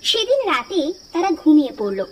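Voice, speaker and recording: A woman's voice: high voice, big pitch swings, fairly quick, very noisy. A woman narrating a scripted cartoon story, recorded slightly distant under music.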